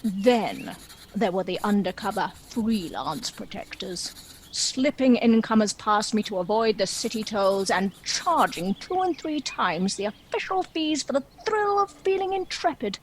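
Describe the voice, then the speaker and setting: A woman's voice: mid-range voice, lively intonation, unhurried, slight background noise, natural voice, rough recording. A woman playing a proud, lonely, world-weary dragon in a comic play, with a theatrical, wry and indignant manner. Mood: wry, boastful. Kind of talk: dramatic monologue in a comic play.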